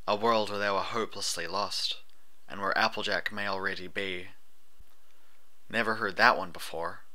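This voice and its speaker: A man's voice: on the low side, pushed and bright. A man reading scripted fiction aloud as the narrator of a story. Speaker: audiobook narrator of fan fiction.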